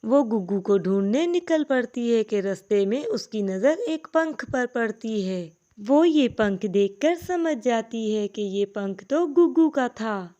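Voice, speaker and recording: A woman's voice: fairly high pitch, lively intonation, measured pace, dark tone. A woman giving a scripted narration of a children's cartoon story.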